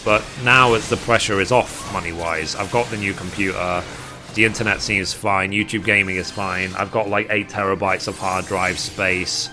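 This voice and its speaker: A man's voice: low-pitched, steady tone, normal speed. A man with a British accent chatting casually and at rambling length with his live stream viewers as a gaming streamer. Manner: rambling, reading chat aloud and replying. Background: music.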